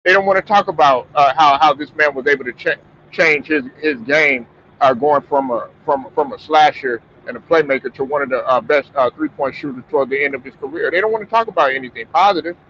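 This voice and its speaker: Man, stuffed up, fairly high voice, rambling sports talk, lots of restarts, muffled lo-fi audio, faint hiss. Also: fairly quick, a bit bright. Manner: rambling, repeats words, frequent restarts. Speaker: sports talk show host.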